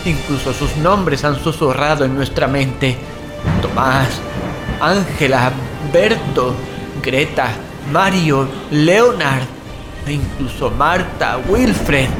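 A man's voice: mid-range voice, slow pace, natural voice, low-quality recording. An actor narrating audio fiction as a solemn, haunted male survivor of catastrophe. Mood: solemn, sorrowful. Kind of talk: dramatic first-person audio fiction narration. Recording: loud dramatic music behind. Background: dramatic music.

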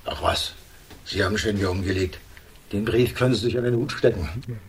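A man plays a hardboiled gangster boss in a radio crime drama. His voice sits low, and he talks in curt, sardonic commands with condescending menace. There is clear noise and clicking behind him. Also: moderate pace, natural voice. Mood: dismissive.

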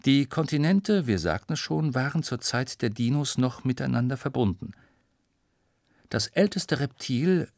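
A man's voice: mid-range voice, creaky, dry recording, clean studio sound. A man reading scripted narration of a science audiobook for children.

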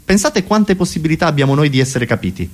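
Rambling comedian, wildly swinging pitch. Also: male voice, sing-song, fast talker. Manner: rambling, self-interrupting, neurotic. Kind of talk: comic cabaret monologue.

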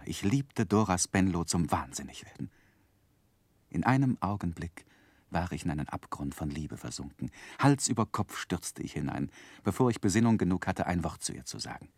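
An actor playing an earnest, romantic gentleman narrating his own youth in measured, literary for a 1960s radio drama. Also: deep voice, breathy edge, no echo, full character voice. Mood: rapt, lovestruck. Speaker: narrator and main character. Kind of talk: dramatic first-person narration, 1960s German radio play.

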